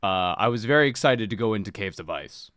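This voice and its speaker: A man hosting a book club podcast in casual chat, a little sniffly, talking in an animated, expressive way. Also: fairly low pitch, a little fast, no echo, clean studio sound. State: slightly sniffly.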